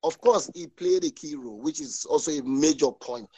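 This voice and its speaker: A man on a sports podcast, his voice a little high, who talks in halting bursts and restarts words. He often breaks in to agree. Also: dark tone. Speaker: podcast panelist. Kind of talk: casual sports debate.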